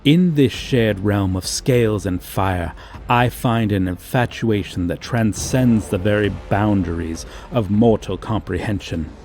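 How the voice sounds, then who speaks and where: A man's voice: on the low side, unhurried, darkish tone. A man voicing a haughty, courting dragon with pompous, archaic diction in an ASMR fantasy romance roleplay. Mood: grand, reverent. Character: haughty, archaic-speaking male dragon, tenderly courting a human.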